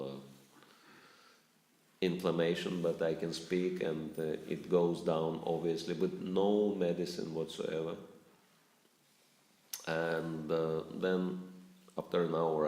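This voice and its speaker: A man's voice: rumbling low, a little mellow. A man giving a spiritual talk to a live audience, asking them questions and chuckling often as he speaks. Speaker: spiritual teacher. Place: lecture room with audience. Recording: roomy echo.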